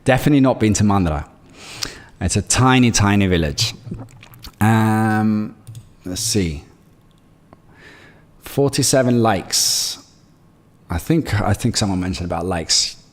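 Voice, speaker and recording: A man's voice: on the low side, lively intonation, a little slow, long pauses, big-room sound. A man who grew up in Greece, with Greek as his first language, streaming live and talking through his trades in a rambling way, reading chat messages as he goes. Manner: rambling, reads chat messages aloud, many fillers and restarts. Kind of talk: live-stream trading commentary.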